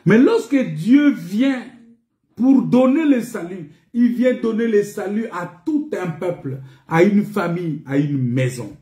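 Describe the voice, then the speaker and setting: A man preaching a sermon in an emphatic, repetitive teaching manner, pausing on rhetorical questions. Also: high voice, expressive, mellow. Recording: echoey, reverberant room.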